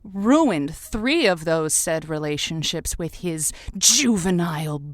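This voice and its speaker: An actress playing an earnest, theatrical, easily wounded radio matchmaking host with dramatic, halting phrasing. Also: female voice, lowish voice, lively intonation, no echo, studio quality. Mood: sympathetic, solemn.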